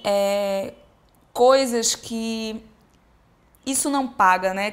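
A young woman from Pernambuco with a Pernambuco accent, chatting animatedly as an interview guest while seated on a sofa in a sunny living room. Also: medium pitch. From Pernambuco, Brazil. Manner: animated, expressive, gestures while talking. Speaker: singer-songwriter guest. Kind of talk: casual interview chat.

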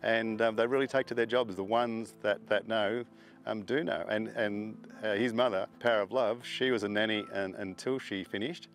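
Relaxed man with low voice. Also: normal speed. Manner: relaxed, rambling with many fillers and restarts. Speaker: horse farm owner. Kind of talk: interview, casual storytelling.

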